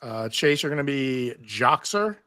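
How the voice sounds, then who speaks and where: A man chatting casually as an enthusiastic fan, in a somewhat halting way. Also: mid-range voice, lively intonation, sharp tone, dry recording, studio quality. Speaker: panel guest.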